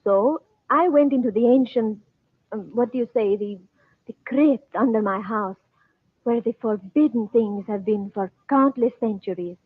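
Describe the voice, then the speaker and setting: An actress playing an anxious, devoted Egyptian princess in an old-time radio drama, with formal, foreign-sounding phrasing. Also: female voice, on the high side, unhurried, breathy edge. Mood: earnest, urgent. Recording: thin, roomy, phone-like old radio recording.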